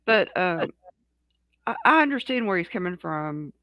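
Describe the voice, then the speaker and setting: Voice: mid-range voice, big pitch swings, a little slow, pushed and bright, no echo, clean studio sound. A co-host in a casual talk show, speaking frankly in a rambling way with many restarts. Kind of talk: casual chat.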